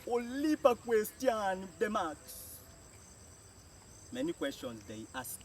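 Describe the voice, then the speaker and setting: Jamaican man teaching, slightly high voice, very lively pitch, recorded from a distance. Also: slow. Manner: says each phrase then translates it, often repeating. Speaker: language teacher. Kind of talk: slow spoken language lesson. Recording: distant mic with faint outdoor noise.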